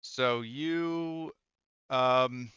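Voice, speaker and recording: A man's voice: mid-range voice, drawn-out words, sharp tone, smooth, no echo, muffled recording. A man acting as game master of a tabletop roleplaying game, talking casually and hesitantly as he searches for words.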